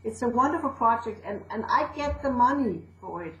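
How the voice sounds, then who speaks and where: A woman's voice: a little high, animated, a little fast, a bit bright, reverberant, dull audio, slight background noise. A woman from Denmark with a Danish accent, a storyteller and educator chatting in an interview with fillers and restarts. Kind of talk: casual interview talk.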